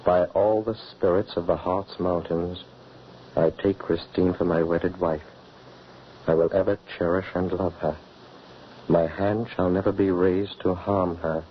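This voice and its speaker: An actor playing an anguished, solemn father in a declamatory 1940s radio drama. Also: low voice. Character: anguished widowed father, a hunter bound by a dreadful oath. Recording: muffled, hissy old radio recording.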